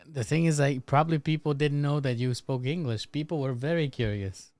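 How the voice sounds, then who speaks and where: A Slovak man with a Záhorie accent hosting a casual podcast, relaxed and teasing as he reads out the chat. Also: a little high, a little fast, no room sound. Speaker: podcast host.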